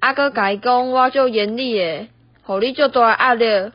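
Radio play: scolding middle-aged Taiwanese mother-in-law, highish voice, nagging , played by a young woman, dull lo-fi sound. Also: moderate pace, background noise, natural voice. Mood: threatening, scornful. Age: young adult. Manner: nagging, switches between Hokkien and Mandarin. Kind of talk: amateur radio play acting. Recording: dull, lo-fi, roomy home recording.

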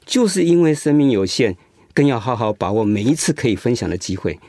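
An actor playing an ailing but determined cancer survivor in a radio drama, speaking earnestly and reassuringly with a husky voice. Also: male voice, medium pitch, very animated, a little fast, dark tone, no echo, studio quality. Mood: earnest, resolute.

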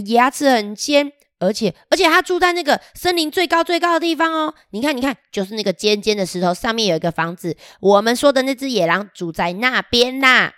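A gang of excitable little forest animals from a children's storybook, bossy and eager, as a woman puts them on. The voice jumps high and loud. The recording is dry. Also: no echo.

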